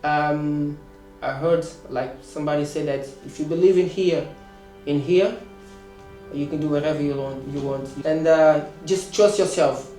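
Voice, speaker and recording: A man in his thirties, a course student, answering interview questions with many pauses and restarts. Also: a little high, dark tone, echoey room, faint music behind. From Portugal. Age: 30s. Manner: hesitant, many fillers and restarts.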